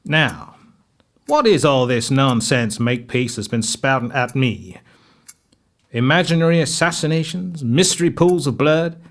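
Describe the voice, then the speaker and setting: A man's voice: medium pitch, unhurried, natural voice. A man playing a brusque, impatient, dismissive President of the United States in an audio drama. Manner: dismissive, commanding. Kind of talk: fan-made audio drama acting.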